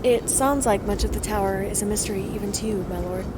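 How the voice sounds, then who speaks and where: A woman's voice: medium pitch, fast talker, background noise, close to an everyday voice. A woman playing an earnest, respectful young adventurer in a fantasy audio drama.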